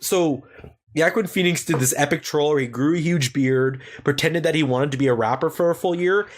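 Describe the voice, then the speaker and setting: A man hosting a podcast, chatting in crude, rapid teasing banter. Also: medium pitch. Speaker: podcast host. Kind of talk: casual comedic chat.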